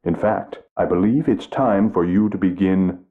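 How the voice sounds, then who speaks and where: An actor playing a condescending, self-important tutor of the paranormal in a mystery game. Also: male voice, sits low, normal speed, natural voice. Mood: smug. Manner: pompous, condescending. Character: smug male con-man tutor, middle-aged. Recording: tinny phone-like game audio.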